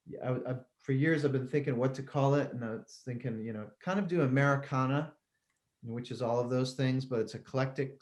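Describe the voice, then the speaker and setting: A man's voice: mid-range voice, darkish tone. A middle-aged man with a mid-range voice, talking casually as a guest musician. He rambles in a loose, easygoing way and laughs easily between thoughts. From Connecticut, US. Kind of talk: casual interview chat.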